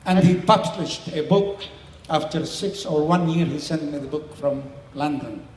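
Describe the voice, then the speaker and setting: Older man, slow non-native English, echoey hall. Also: on the high side, darkish tone, a little hiss or hum. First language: Syriac. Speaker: interviewee, community elder and tailor. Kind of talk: explaining to a small audience.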